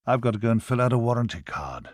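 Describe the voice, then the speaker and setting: A hapless boatman of the dead from a video game, rambling on with wry complaints. The voice is lively and conversational, bouncing up and down in a dry recording. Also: medium pitch, fast talker, no echo. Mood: grumbling. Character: chatty, grumbling, hapless ferryman to the dead. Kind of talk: video game cutscene acting.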